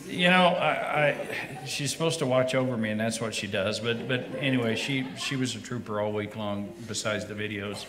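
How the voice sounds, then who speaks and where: A man's voice: lowish voice, moderate pace, few pauses, crowd noise. A man who is a pastor, chatting casually about his recent heart surgery, joking and rambling with many restarts and filler words. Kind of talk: casual chat. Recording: echoey room with faint noise.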